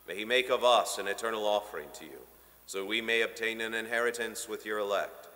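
A low-voiced priest in his thirties recites the Mass prayers with a bright, forceful tone, slowing solemnly on key phrases. His voice rings out through the long reverb of a large marble church, picked up by a stand microphone at the altar.

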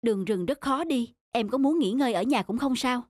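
Gentle cartoon schoolteacher. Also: female voice, a little high, animated, a little fast, no room sound. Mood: calm, kind. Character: gentle, caring female schoolteacher addressing her class. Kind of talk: animated cartoon dubbing.

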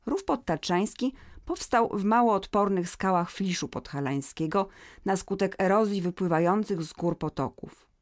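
A woman narrating a scripted educational audio guide in a measured, informative manner. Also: mid-range voice, expressive, no echo, clean studio sound.

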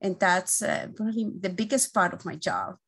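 Earnest woman presenting, halting. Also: lowish voice, a bit bright, husky. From Guatemala. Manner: earnest, halting, with frequent "uh" fillers and restarts. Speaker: engineering team lead. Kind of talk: webinar presentation over slides. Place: indoor room. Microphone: video call webcam.

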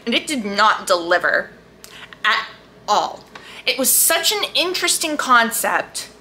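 Woman, animated intonation, bright forceful tone, enthusiastic book vlogger, casual chat, emphatic drawn-out words. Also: a little high, a little hiss or hum. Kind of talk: casual chat to camera about books.

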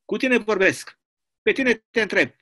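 A man reading a play as a stern, bullying communist prison governor who barks suspicious, drawn-out questions. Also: high voice, animated, sharp tone, pitched above the performer's own voice, exaggerated voice. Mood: interrogating. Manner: barking, interrogating, drawn-out emphatic questions.

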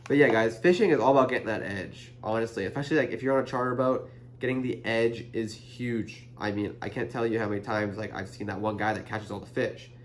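A man's voice: mid-range voice, slight background noise. A young man hosting a fishing channel, casually explaining his tackle to the camera in a chatty, earnest way full of "like" and "you know", recorded indoors on a camera mic. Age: young adult. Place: indoor room in front of a printed banner.

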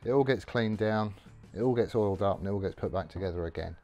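A man's voice: lowish voice, fast talker, a little mellow, faint music behind. A middle-aged man casually explaining his tool care while working in a garage workshop, rambling and matter-of-fact with many ums. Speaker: workshop vlogger.

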